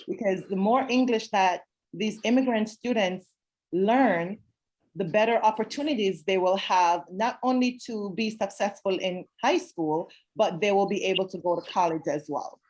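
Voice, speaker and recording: A woman's voice: medium pitch, a little mellow. A woman teacher from Jamaica talks over a video call, her voice sitting in a middle register. She explains her classroom methods patiently and earnestly, often repeating key phrases for emphasis. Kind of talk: zoom talk answering questions.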